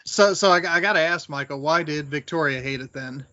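Bright, higher-voiced man with halting delivery. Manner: halting, thinking aloud. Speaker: podcast co-host. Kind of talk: casual analytical discussion. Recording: dull lo-fi audio with background noise.

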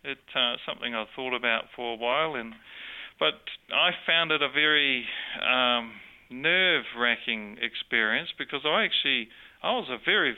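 A man's voice: medium pitch, gravelly, narrow, tinny audio. A man with a New Zealand accent, a guest telling a story of his faith in earnest, conversational testimony, with frequent restarts. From born in Australia, grew up in New Zealand. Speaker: interview guest.

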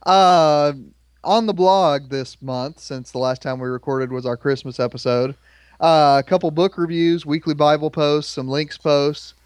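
A man in his thirties hosting a podcast in a relaxed, conversational way, slightly hoarse from a cold. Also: fairly high pitch, unhurried, no echo, studio quality. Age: 30s. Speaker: podcast host. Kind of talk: casual chat.

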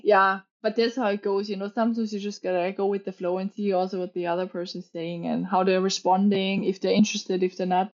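A woman's voice: medium pitch, level tone, brisk, hardly any pauses, a little mellow. Woman from austria, english not her first language, easygoing filler-heavy chat, kinda muffled sound. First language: not English or Danish. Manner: chatty, many fillers, laughs easily. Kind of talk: casual interview chat.

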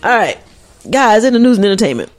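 Brief: woman podcast co-host, slightly nasal, lively swooping pitch, teasing banter. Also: fast talker. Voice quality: a little nasally. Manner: animated, slangy, teasing. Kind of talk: casual banter.

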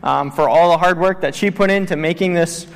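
A man's voice: a little high, fairly quick, vocal fry, clean tone. A man in his thirties, a missionary who grew up in Tanzania, speaking earnestly to a church congregation with many fillers. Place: church sanctuary. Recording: long church hall reverb.

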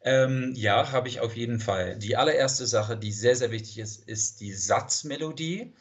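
A man's voice: mid-range voice, moderate pace. A man, an English speaker, answering interview questions with many fillers and restarts. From United States. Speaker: interview guest, language teacher. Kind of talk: casual interview conversation. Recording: dull lo-fi sound.

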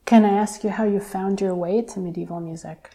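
A woman's voice: mid-range voice, fairly even intonation, a little fast, warm tone. A woman interviewing a musician, asking questions and offering short encouraging responses.

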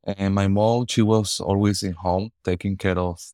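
A man in his forties from Venezuela with a Venezuelan Spanish accent, answering interview questions earnestly and haltingly, often repeating words as he searches for phrasing. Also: deep voice, fairly even intonation, darkish tone, no room sound, studio quality. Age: 40s. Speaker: guest.

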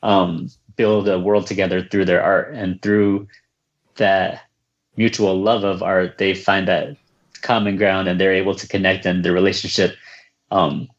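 A reserved, soft-spoken man from the United States, answering questions about his books in a casual interview with many fillers and restarts. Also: low voice, level tone, a little slow, muffled recording. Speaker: children's book author, guest.

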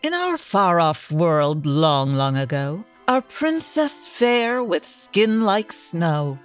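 A woman narrating storybook verse for a comic radio play, with a measured, mock-grand delivery. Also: lowish voice, very animated. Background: music.

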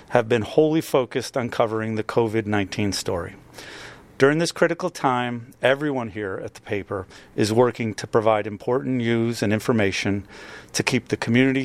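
A man, a newspaper editor, reading a scripted announcement. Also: mid-range voice.

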